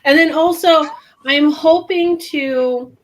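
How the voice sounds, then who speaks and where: A woman co-hosting a podcast, talking casually with many pauses and fillers. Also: high-pitched, poor audio. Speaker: podcast co-host. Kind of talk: casual chat.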